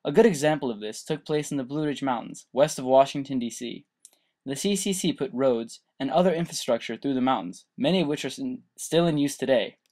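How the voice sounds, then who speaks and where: A man's voice: medium pitch, fairly quick, a little mellow. A man reading scripted narration for a student history documentary.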